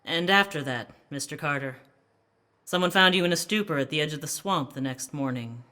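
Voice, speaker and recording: A matter-of-fact questioner in a radio drama. His voice is slightly high and distinctly bright. The delivery is measured and theatrical.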